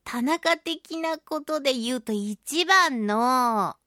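A woman hosting a casual solo radio chat, talking in a playful, animated way with touches of mock-grand phrasing. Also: sits high, takes it slowly, no room sound, clean studio sound. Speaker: radio show host and voice actress.

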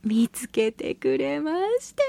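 A woman hosting a radio show solo, chatting casually and playfully to listeners with drawn-out words and colloquial asides. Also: high-pitched, creaky, warm tone, dry recording. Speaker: radio show host, voice actress.

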